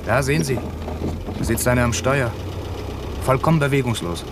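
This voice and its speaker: Ein Mann spielt einen pflichtbewussten Inspektor. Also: male voice, medium pitch, normal speed, background noise, natural voice. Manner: dutiful, matter-of-fact. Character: eager young police inspector, deferential. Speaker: police inspector. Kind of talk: 1970s radio drama acting.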